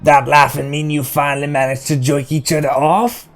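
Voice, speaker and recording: A man voicing a spiteful, suspicious captive woman in an audiobook, hoarse and jeering in crude dialect. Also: a little high, level tone, normal speed, faint music behind, voice pushed up, lightly acted.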